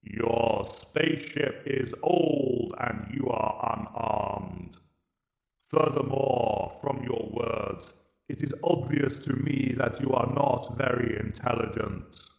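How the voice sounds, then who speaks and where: A slow, cold machine emperor. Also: male voice, mid-range voice, gravelly, a little mellow, roomy sound.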